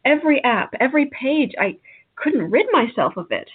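A woman's voice: high voice, animated, normal speed, slightly rough. A woman playing a bewildered, anxious, questioning young woman in a radio play. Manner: questioning, startled. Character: bewildered, anxious young woman seeking her missing brother. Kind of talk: audio drama acting. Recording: muffled, narrow phone-like audio.

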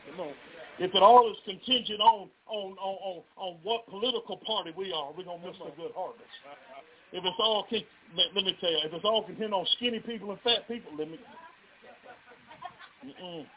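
Southern man, revival preacher, high voice, folksy calls to the crowd, in a tent, tinny phone-like sound. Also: a little fast. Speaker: pastor. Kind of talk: revival sermon to a live congregation. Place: revival tent near a residential street. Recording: tinny phone-line live stream.

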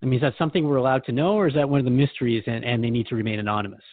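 A man's voice: medium pitch, fairly even intonation, rapid-fire, no room sound, narrow, tinny audio, natural voice. A man playing a curious, earnest seeker questioning a spiritual guide in a conversational scripted dialogue. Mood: eager, inquisitive. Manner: inquisitive, conversational.

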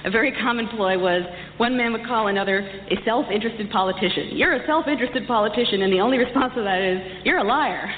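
A woman's voice: a little high, quick pace, hardly any pauses. A woman giving a prepared history lecture, speaking articulately and emphatically with a lightly wry touch. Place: large reverberant hall. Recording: echoey hall, muffled, some background noise.

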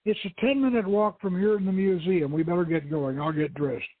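A man's voice: high-pitched, brisk, slightly rough. A man voicing a confident, flirtatious female archaeologist in a teasing, poised way. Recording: tinny band-limited radio stream, roomy echo.

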